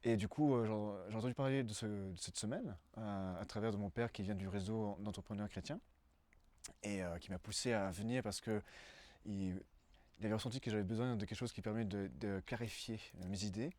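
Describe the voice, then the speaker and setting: A man's voice: fairly low pitch, fairly quick, darkish tone, no echo. A man in his twenties from Brussels giving a casual interview testimony, hesitant and often searching for words. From Brussels, Belgium.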